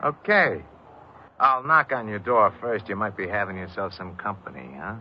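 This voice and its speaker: An actor playing a slick, pushy American small-time crook, slangy and cajoling, in an old-time radio crime drama. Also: male voice, on the low side, moderate pace, heavy background noise, natural voice. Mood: chummy, sly. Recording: dull vintage radio recording, music behind.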